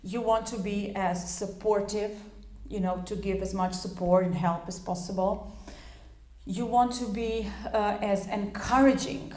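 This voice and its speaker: A woman whose first language is Croatian talks through an English lesson in a loose, chatty way, often restarting her sentences and leaning on fillers. Her voice sits at a middle pitch. The room around her gives a noticeable echo. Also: fairly even intonation, measured pace, a little mellow. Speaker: English teacher. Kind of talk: informal spoken lesson.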